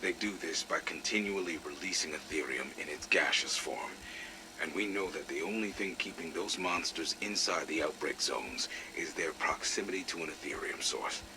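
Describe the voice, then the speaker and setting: Very deep-voiced grizzled military veteran. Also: male voice, moderate pace, noisy background. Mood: wary, explanatory. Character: grizzled, blunt veteran military security chief. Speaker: military security commander. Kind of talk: acted audio log briefing.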